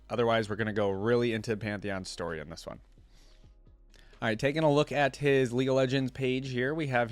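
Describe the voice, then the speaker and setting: A man with an average-pitched voice hosts a reaction video. He moves between reading text aloud and casual, informal commentary, often checking in with short tag questions. The sound is dry, with hardly any room on it. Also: no echo. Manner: informal, often checks in with tags like "right?" and "yeah?". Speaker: host of a game lore reaction video.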